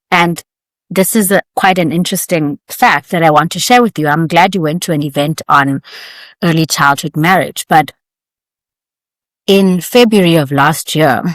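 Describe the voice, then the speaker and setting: A woman lawyer answering interview questions in a measured, articulate, formal way. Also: low voice, no echo. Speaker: guest lawyer.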